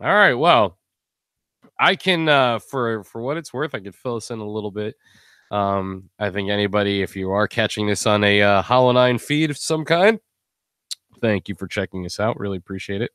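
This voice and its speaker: Deep, smooth-voiced man hosting casually. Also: normal speed, a bit bright, no room sound, studio quality. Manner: rambling, joking. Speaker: host. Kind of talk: casual live stream chat.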